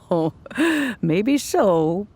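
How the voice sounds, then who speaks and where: An actress voicing a gentle, patient old granny immortal in a video game, measured and grandmotherly. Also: fairly low pitch, animated, a little hiss or hum, full character voice. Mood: mild, hesitant. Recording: soft game music behind.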